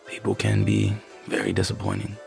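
Gravelly, easygoing man. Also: on the low side, fairly quick, faint music behind, exaggerated voice. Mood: reassuring. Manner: relaxed, reassuring, teasing. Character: easygoing, supportive, wry husband. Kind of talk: naturalistic audio drama acting.

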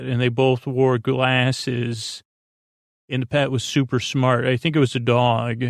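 A man hosting a sleep podcast, rambling in a halting, goofy, gently self-deprecating way that wanders into tangents and trails off mid-thought. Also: medium pitch, vocal fry, dry recording, clean studio sound. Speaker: podcast host. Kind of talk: rambling bedtime monologue to help listeners fall asleep.

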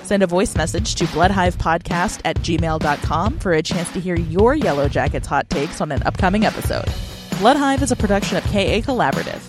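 Woman reading podcast credits from a script, lowish voice, music playing behind. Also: animated, a little fast.

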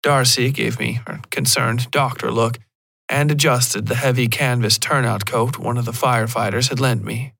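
A man's voice: mid-range voice, fairly even intonation, moderate pace, vocal fry, fairly bright tone, dry recording. A man reading a scripted first-person horror story as the narrator of a fiction podcast.

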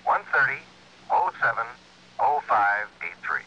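A man's voice: medium pitch, very animated, a little slow, lo-fi sound. An actor playing a businesslike American insurance man on a telephone in a radio drama, with clipped, emphatic delivery. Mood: brisk, urgent. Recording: noisy band-limited vintage radio phone sound.

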